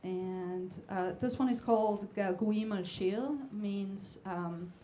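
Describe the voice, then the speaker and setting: A woman's voice: mid-range voice, fairly even intonation, slow pace, warm tone, a little hiss or hum. A woman from Israel whose first language is Hebrew, a guest jazz vocalist introducing a song from a club stage in a hesitant, chatty way with many fillers. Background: band instruments faintly.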